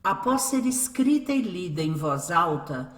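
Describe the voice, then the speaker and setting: A woman's voice: on the low side, big pitch swings, measured pace, roomy sound. A woman narrating a radio drama from a script.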